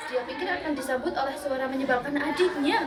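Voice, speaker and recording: A young woman with a lilt and a high voice reads a story aloud in a light storybook tone, stopping now and then to talk to her listeners. She sits some way from the mic, and a loud crowd chatters around her. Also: fast talker, roomy sound, far from the mic.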